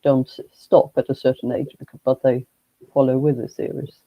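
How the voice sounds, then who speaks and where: A man, a guest speaking in a halting, measured way with frequent restarts. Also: mid-range voice, little pitch movement, no room sound, dull audio. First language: Swedish. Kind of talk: casual conversation.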